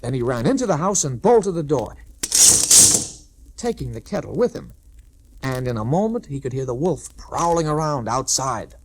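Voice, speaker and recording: A man's voice: medium pitch, lively intonation, moderate pace, a little mellow. A man narrating a scripted children's story in the style of a 1960s record. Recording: old 1960s record, clear background noise.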